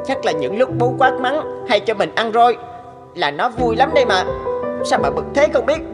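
A woman's voice: a little high, expressive, moderate pace, long reverb tail, over loud music, natural voice. A woman voicing a petulant, resentful schoolboy in a cartoon, with a boyish manner. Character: sulky, resentful schoolboy older brother. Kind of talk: Vietnamese animated moral tale dubbing.

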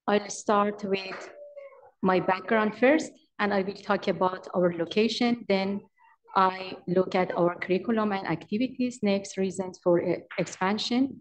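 A woman in her forties with an Iranian accent presents from notes at a public meeting. Her pitch is average for a woman, and her delivery is halting and formal. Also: a little mellow, highs cut off. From Iran. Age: 40s. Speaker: daycare owner and manager presenting. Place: large council chamber. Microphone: podium microphone.

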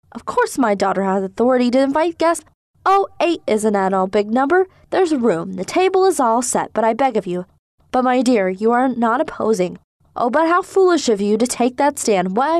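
A woman's voice: on the high side, darkish tone, dry recording, studio quality. A woman playing an imperious, exasperated society hostess and overbearing mother in a stagy radio drama. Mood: pleading, flustered. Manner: theatrical, exasperated, self-pitying.

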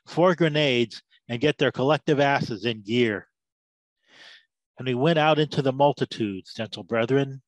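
A man's voice: medium pitch, dry recording, close to an everyday voice, low-quality recording. Man acting a sermonizing, embittered war veteran. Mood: mock-preaching. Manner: loquacious, sermon-like oratory with biblical cadence. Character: embittered drunken war veteran Big Mike, preaching battlefield tales. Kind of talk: dramatised story, theatrical monologue.

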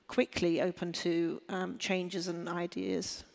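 A woman's voice: lowish voice, flat delivery, slow pace, vocal fry. A woman speaking on a panel discussion, thinking aloud with restarts and checking she is understood. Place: large hall on stage. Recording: hall reverb. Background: hall reverb.

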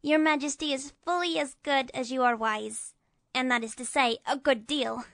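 A man's voice: very high-pitched, unhurried, pushed and bright, voice pushed up, heavily acted. A man voicing a polite young boy adventurer in a storybook, meek and earnest in manner. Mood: grateful.

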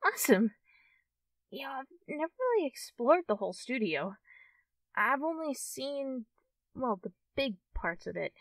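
Slow, high-voiced, friendly fox girl, played by a woman. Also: sing-song, no room sound, recorded from a distance, full character voice. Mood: helpful, unsure. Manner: hesitant, halting, giggly, addressing the listener as a friend. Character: friendly young fox-tailed cartoon studio girl, shy, easily excited. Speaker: roleplay performer. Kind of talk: ASMR character roleplay.

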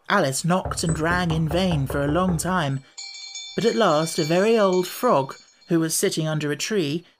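A man's voice: a little high, a little hiss or hum. A man narrating a children's storybook audiobook from a script.

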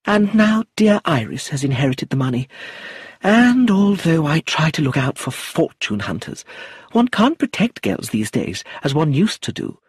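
A prim, chattering old aunt rambles on in a high, fluttery voice that swoops all over, as a man reading the story puts her on. The tone is husky and breathy, and the sound is close and dry. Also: sing-song, normal speed, no echo, exaggerated voice. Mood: prim gossip. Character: fussy, chattering older aunt, prim and gossipy.

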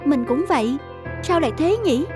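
An actress playing an eager, polite young student in a cartoon, speaking earnestly. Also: female voice, high-pitched, lively intonation, normal speed. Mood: puzzled, concerned. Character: eager, polite young photography students visiting their teacher. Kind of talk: animated moral-tale dubbing. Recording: clear music behind the voice.

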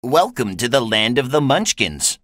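A woman voicing a small, grateful munchkin man who speaks slowly and earnestly in a lowered voice. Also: very animated, dry recording, clean studio sound, full character voice.

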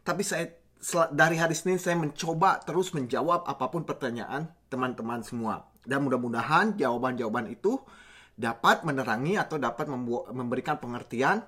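Husky-voiced man with a cold, gesturing. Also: mid-range voice, brisk. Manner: animated, gesturing with both hands, many restarts and "oke?" checks. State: recovering from a cold. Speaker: motivational channel host. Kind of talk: casual motivational talk to camera. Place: small home office, indoors. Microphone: camera at desk distance.

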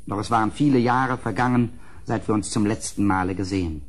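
A man playing a grave, haunted gentleman who narrates a gothic audio drama in measured, solemn, literary phrases. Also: fairly low pitch, natural voice. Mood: brooding, uneasy.

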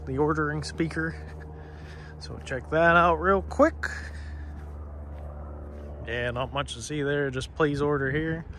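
A man's voice: fairly high pitch, unhurried, pauses often, fairly bright tone. A man giving a casual, off-the-cuff walkaround narration as a vlogger, talking to viewers in a folksy, conversational way while outdoors. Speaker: vlogger exploring abandoned places. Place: outdoors in a parking lot. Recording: outdoor handheld recording with clear traffic noise. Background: street and vehicle ambience.

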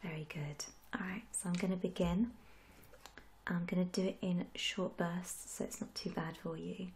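A young woman in a soft-spoken ASMR roleplay, gentle and reassuring, with a breathy, often whispery voice. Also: fairly low pitch, fairly even intonation. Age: young adult. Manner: gentle, unhurried, polite reassurance. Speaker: ASMR roleplayer as a tattoo artist. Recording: audible background noise and hiss.

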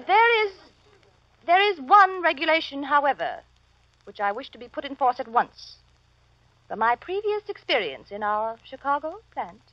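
An actress playing an officious, self-important office supervisor with formal, speech-making delivery in a vintage radio comedy. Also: female voice, on the high side, no echo. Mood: stern, officious. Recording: muffled, lo-fi old radio recording.

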